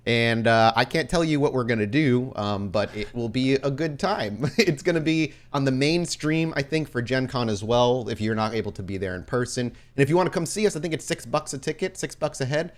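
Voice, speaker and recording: Male podcast host, mid-pitched, casual and excited, chatting through announcements with an easy joking manner. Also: brisk, hardly any pauses. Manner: informal, enthusiastic, jokey. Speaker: podcast host and game storyteller.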